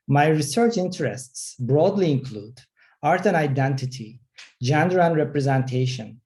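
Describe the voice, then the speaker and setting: A man in his forties from Turkey, an art history professor giving a formal prepared self-introduction in a measured, steady way. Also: mid-range voice.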